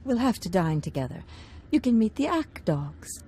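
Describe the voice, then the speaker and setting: A woman's voice: mid-range voice, sing-song, normal speed, mellow, a little hiss or hum, close to an everyday voice. A woman playing a refined, demure baroness and lady of a great house in a video game. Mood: polite, coy.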